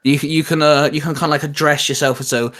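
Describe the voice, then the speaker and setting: A man's voice: fairly high pitch, steady tone, fast talker, a bit bright. A man hosting a video in a casual, chatty way, explaining things in a rambling manner. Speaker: YouTube host discussing anime. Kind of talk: casual chat.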